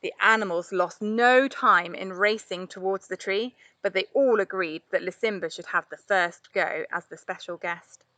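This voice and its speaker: A woman reading a children's storybook aloud in an expressive narrating style. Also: mid-range voice, moderate pace, hardly any pauses, no echo. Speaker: storybook reader.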